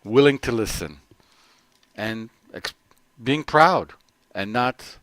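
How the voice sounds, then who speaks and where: A middle-aged man giving an earnest personal testimony, often halting and restarting his phrases. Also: mid-range voice, expressive, a bit bright, dry recording. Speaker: corporate trainer.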